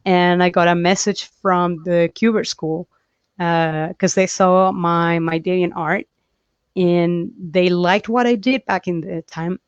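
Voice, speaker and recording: A woman's voice: fairly low pitch, fairly even intonation, clear, resonant tone, no echo. Young woman, dull dry call audio. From Chile. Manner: chatty, laughs easily, halting restarts. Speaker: guest comic artist. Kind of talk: casual interview chat.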